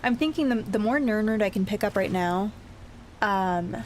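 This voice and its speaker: A woman's voice: medium pitch, moderate pace, a little hiss or hum. A woman giving casual, chatty gameplay commentary, thinking aloud with playful little exclamations. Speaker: gamer streaming a blind play-through.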